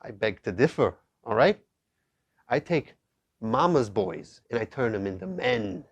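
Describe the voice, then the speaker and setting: A man's voice: lowish voice, very animated, measured pace, husky, lo-fi sound, heavily acted. A man playing a cocky, sleazy quack therapist in a comedy sketch, brash, crude and full of bragging. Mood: cocky, forceful.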